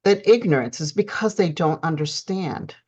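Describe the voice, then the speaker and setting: A woman host with a low voice talks in a loose, conversational way, dropping "you know" often. Her recording sounds slightly dull and lo-fi. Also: lively intonation, moderate pace, a little mellow, slightly rough. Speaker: podcast host and coach. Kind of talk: casual talk-show chat.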